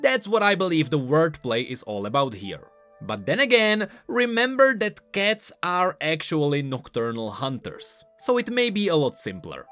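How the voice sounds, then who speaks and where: Guy doing game lore commentary, slightly high voice, super animated up and down, emphatic explainer, quiet music behind. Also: normal speed. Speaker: video game lore YouTuber. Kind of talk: scripted commentary on game voice lines.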